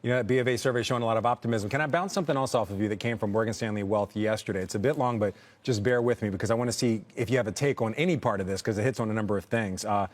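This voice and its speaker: A man's voice: on the low side, very fast. A man anchoring a live business news interview, reading out long quotes and asking pointed questions.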